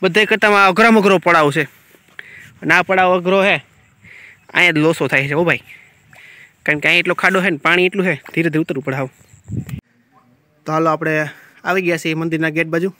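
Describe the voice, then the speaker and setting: A man's voice: a little high, steady tone, fairly quick, long pauses, bright, forceful tone, no room sound. A man, chatting casually as he walks viewers around a riverside temple on a phone camera. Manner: chatty, pointing things out as he walks. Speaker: village vlogger. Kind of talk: casual guided tour.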